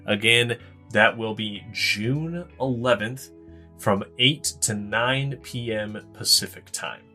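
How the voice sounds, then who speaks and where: A man's voice: lowish voice, slow, bright, forceful tone, soft background music. A man co-hosting a podcast with casual, chatty delivery. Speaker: podcast host.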